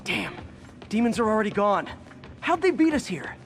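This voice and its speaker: An actor playing a young wisecracking superhero in a video game, with an American accent and a casual, quippy delivery. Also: male voice, high-pitched, wildly swooping pitch, normal speed, a little hiss or hum. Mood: tense, hushed. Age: young adult. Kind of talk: video game voice acting, natural dialogue.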